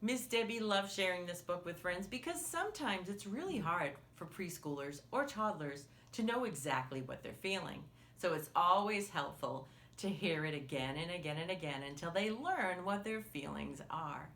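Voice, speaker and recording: Casting: woman, children's storytime presenter, slightly low voice, very lively intonation, simple patient craft instructions. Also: moderate pace, hardly any pauses. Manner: simple patient explaining, often refers to herself in third person. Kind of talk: step-by-step craft instruction for parents of young children.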